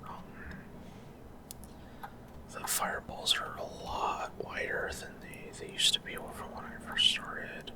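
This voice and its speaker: A man speaks in an unvoiced whisper, giving casual, halting ASMR commentary on gameplay and explaining as he goes, close to the microphone. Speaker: gaming video host.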